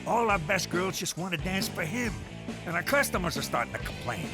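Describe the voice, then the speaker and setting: A man's voice: sits high, big pitch swings, a little fast, over music. A man voicing a sardonic mobster sidekick with a dry delivery.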